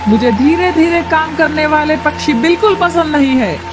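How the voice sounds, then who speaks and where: A woman's voice: high voice, lively intonation, normal speed. A woman voicing a harsh, impatient male bird boss in a children's cartoon, scolding and bossy. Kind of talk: Hindi children's cartoon dialogue. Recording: loud music behind the voice.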